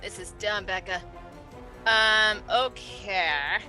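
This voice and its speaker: A woman's voice: medium pitch, slow pace, pushed and bright, faint music behind. A woman playing a tabletop game, chatting informally and often interrupting herself. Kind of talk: casual, animated game chat.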